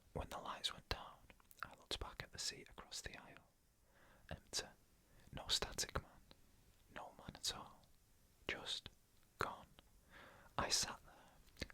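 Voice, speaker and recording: ASMR horror narrator: breathy whisper throughout, very sharp sibilant brightness, deliberate phrasing with pauses. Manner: hushed, deliberate, short pausing phrases.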